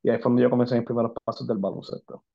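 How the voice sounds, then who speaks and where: A man's voice: mid-range voice, steady tone, racing, gravelly, dull audio. A man in his forties with a Puerto Rican accent, chatting as an interview guest and mixing Spanish and English with lots of fillers. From Puerto Rico. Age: 40s. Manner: switches between Spanish and English, many fillers. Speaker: guest, basketball agent. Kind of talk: casual interview talk.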